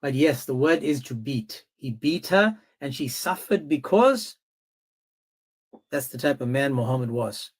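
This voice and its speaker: A man hosting a live-stream debate, insistent and quick to interrupt. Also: medium pitch, animated. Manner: insistent, interrupting, repeats himself. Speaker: stream host.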